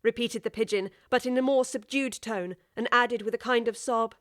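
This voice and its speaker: A woman reading a storybook as audiobook narrator, in a measured, expressive way. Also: fairly high pitch, no room sound, studio quality.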